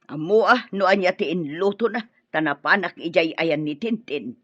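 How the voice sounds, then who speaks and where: A woman's voice: lowish voice, lively intonation, moderate pace, no room sound. A woman playing a brusque, bossy mother-in-law who scolds and complains in an Ilocano radio drama. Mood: matter-of-fact. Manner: scolding, sharp, emphatic. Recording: dull, lo-fi audio.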